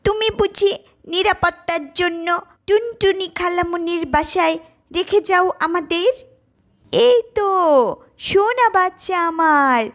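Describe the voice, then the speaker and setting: Woman as a tiny, extremely high cartoon tailorbird. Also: full character voice. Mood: childlike, plaintive. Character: small tailorbird mother and her young chicks. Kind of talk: children's cartoon voice acting.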